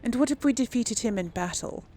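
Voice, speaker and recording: A woman's voice: fairly high pitch, lively intonation, brisk, warm tone, faint music behind. A woman hosting a gaming video, role-playing casually and musing aloud in a hesitant way. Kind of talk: casual role-play reactions over a game.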